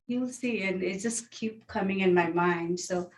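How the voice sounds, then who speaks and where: A woman with a Nepali accent speaks softly and simply as a guest astrologer. Her voice comes from a distance over a video call, wrapped in a roomy echo. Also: mid-range voice, unhurried. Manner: soft-spoken, simple phrasing, small laughs. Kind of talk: casual interview chat.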